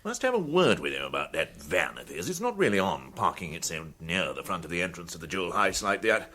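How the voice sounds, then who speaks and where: A hearty, suspicious British colonel for radio comedy. His low voice swoops wildly, with a forceful, clipped, upper-class bluster. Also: very animated, brisk, a little hiss or hum, full character voice. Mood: grumbling.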